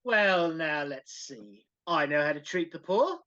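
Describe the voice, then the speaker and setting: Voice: mid-range voice, animated, a little slow, fairly bright tone. A performer reading a stern, miserly businessman with a curt, scornful manner in a live dramatic reading over a video call. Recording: dull lo-fi video-call audio.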